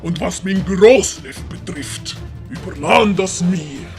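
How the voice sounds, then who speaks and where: A woman's voice: on the low side, takes it slowly, over music, pitched below the performer's own voice. A woman with a Swiss accent voicing a pompous, scheming older great-uncle in a children's audiobook. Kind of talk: dramatised German-language children's audiobook.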